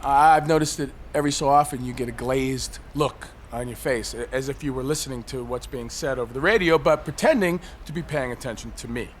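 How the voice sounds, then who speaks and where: A man's voice: mid-range voice, fairly quick, hardly any pauses, lightly acted. An actor playing a cynical, suicidal man on a ledge in an audio drama, sarcastic, articulate and needling. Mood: probing, challenging. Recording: faint background noise.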